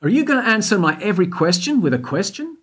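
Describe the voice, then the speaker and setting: A man's voice: high voice, sing-song, quick pace, a bit bright, breathy edge, heavily acted. A man playing an investigator who keeps pressing a witness with question after question in a radio play. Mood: probing. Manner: persistent, probing questioning. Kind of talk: amateur radio play acting.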